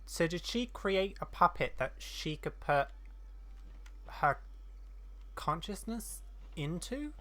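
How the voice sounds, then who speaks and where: A woman's voice: on the low side, lively intonation, slow, long pauses, fairly bright tone. A woman giving casual gameplay commentary, hesitant and thinking aloud as she goes. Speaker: game streamer.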